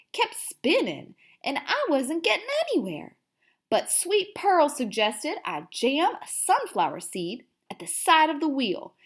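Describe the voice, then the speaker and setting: Woman reading as a dramatic little hamster, very animated. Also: high-pitched, full character voice. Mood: proud, scheming. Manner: theatrical, with drawn-out emphasis and hushed asides. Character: excitable, dramatic little hamster telling his diary. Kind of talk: expressive children's read-aloud.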